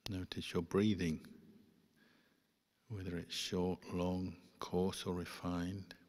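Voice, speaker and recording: A man, a Buddhist monk, guiding a meditation in calm, measured phrases with pauses. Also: deep voice. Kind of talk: guided meditation instruction. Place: large reverberant hall. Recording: long hall reverb.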